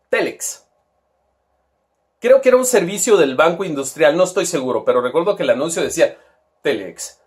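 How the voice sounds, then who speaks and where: A middle-aged man with a Guatemalan accent, telling a rambling, crude story in casual slang. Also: high-pitched. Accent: Guatemalan Spanish. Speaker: toy seller and vlogger.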